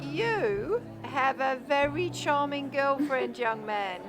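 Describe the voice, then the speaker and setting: A woman's voice: sits high, animated, slow pace, music in the background. A woman playing a polite, coy waitress in an audio drama, courteous and deferential.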